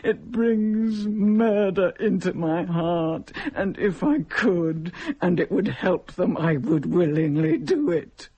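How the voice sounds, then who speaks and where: A desperate young mother from centuries past in a radio drama. Her voice is husky and worn. She recounts her hardship formally and haltingly, heavy with anguish and despair. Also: on the low side, animated, dark tone, highs cut off, exaggerated voice. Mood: despairing, weary. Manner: anguished, halting, formal old-fashioned storytelling. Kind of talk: dramatic radio play monologue.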